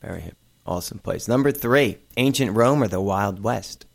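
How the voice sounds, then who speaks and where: Chatty man with a gravelly voice. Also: fairly low pitch. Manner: chatty, joking, quick asides. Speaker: interview show host. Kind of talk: casual interview chat.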